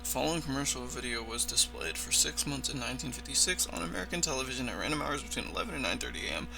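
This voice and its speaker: A man's voice: mid-range voice, animated, very fast, vocal fry, over music, rough recording. A man doing casual reaction commentary and reading text aloud, often stumbling over words and correcting himself. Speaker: reaction video host.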